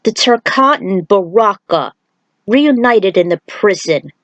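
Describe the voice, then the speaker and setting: A woman narrating a scripted fan fiction story in a deliberate read-aloud manner. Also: mid-range voice, lively intonation, no room sound, muffled recording.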